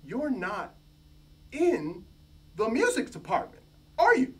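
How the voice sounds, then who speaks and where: A man's voice: wildly swooping pitch, takes it slowly, a bit bright, heavily acted. A man voicing an anxious, indignant literature professor, accusing and insistent, in a reading from a novel. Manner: high-strung, insistent, emphatic. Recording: distant mic, faint room noise.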